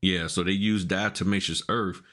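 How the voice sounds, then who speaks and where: A man's voice: low voice, fairly even intonation, moderate pace, bright, forceful tone. An American man from Florida chatting casually as a podcast co-host, telling things in a folksy, colloquial way. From Florida, United States. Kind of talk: casual chat.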